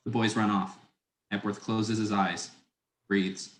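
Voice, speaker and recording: An echoing, roomy recording carries a man's scripted narration. His voice is fairly low as he reads out stage directions. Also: level tone, takes it slowly, a bit bright.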